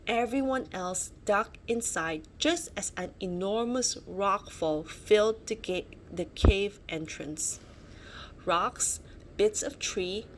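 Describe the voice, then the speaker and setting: A woman reading a children's picture book aloud, dramatic and expressive, adding little asides as storyteller. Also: mid-range voice, poor audio. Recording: faint background noise.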